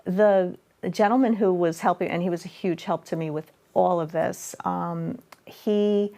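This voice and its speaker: A middle-aged woman chatting as a guest in a local studio interview. Her voice sits low and has a gravelly, creaky texture. She rambles warmly with plenty of fillers and restarts. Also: steady tone, unhurried. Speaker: author and guest. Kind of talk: casual interview chat.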